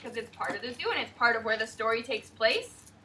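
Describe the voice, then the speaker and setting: High, bright-voiced woman teacher. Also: a little fast, distant mic, slight background noise. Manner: expressive, sing-song storytelling. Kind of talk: classroom read-aloud and questioning. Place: classroom.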